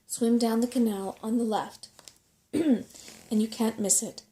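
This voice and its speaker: A woman's voice: a little high, fairly even intonation, slow pace, warm tone, low-quality recording. A woman voicing a plucky, frightened young boy in a storybook, urgent and protesting.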